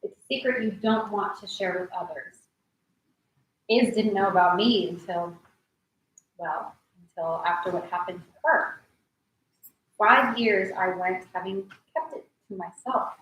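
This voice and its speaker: Actress as frank supportive young woman, stage drama, mid pitch, really echoey and far from mic. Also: a little slow, a bit bright, close to an everyday voice. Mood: gentle, reflective. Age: young adult. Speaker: actor. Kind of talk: staged play reading, naturalistic drama. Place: large echoey indoor room. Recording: echoey hall, distant mic, faint noise.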